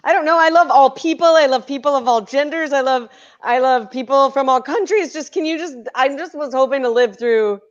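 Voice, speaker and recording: A woman's voice: high-pitched, a little fast, lightly acted. A woman playing a flustered, stammering woman in an improv comedy scene, with a dull lo-fi sound. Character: flustered fan-obsessed woman.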